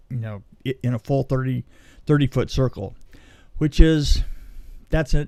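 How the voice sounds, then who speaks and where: A man telling a story casually on a podcast, in a halting, rambling way that often restarts mid-sentence. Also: mid-range voice, mellow, dry recording. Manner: halting, rambling, self-interrupting delivery. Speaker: film special effects technician telling a story.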